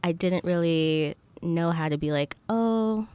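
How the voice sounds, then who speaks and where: A woman's voice: sits low, little pitch movement, unhurried, a little mellow, smooth. A young woman from Zambia hosting a casual interview, feeling her way through questions with tentative, like-filled phrasing. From Zambia, moved to the US at ten. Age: young adult. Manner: tentative, searching phrasing with many likes. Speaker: podcast host, interviewer.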